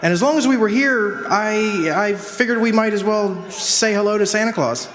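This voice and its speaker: A man playing a friendly, apologetic gentleman in a radio drama, polite and hesitant. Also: high voice, normal speed, slight background noise. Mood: sheepish. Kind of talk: live stage radio drama acting. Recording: echoey hall, faint audience.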